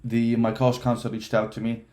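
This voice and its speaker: A man's voice: medium pitch, monotone, fast, warm tone. A man in his twenties from Palestine, telling his life story casually with many fillers and restarts. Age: 20s. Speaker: vlogger.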